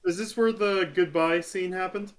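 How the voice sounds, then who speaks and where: Higher-pitched man chatting casually. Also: steady tone, normal speed. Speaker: podcast co-host reviewing an audio drama. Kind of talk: casual review chat.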